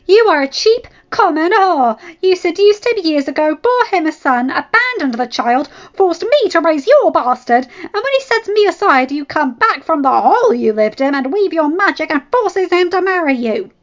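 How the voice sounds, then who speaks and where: A woman's voice: sits high, fairly even intonation, a little slow, dull audio, voice pushed up. A woman voicing a furious, scornful middle-aged noble lady who hurls insults at a rival. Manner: shrieking insults, venomous.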